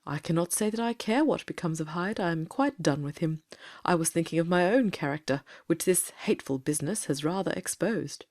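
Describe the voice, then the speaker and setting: A woman playing a troubled, low-voiced Victorian doctor. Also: lively intonation, fairly quick, a little mellow, lightly acted. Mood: uneasy. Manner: fervent, formal, anguished. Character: troubled, earnest middle-aged male Victorian doctor. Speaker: audiobook cast reader. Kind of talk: dramatic reading of Victorian fiction.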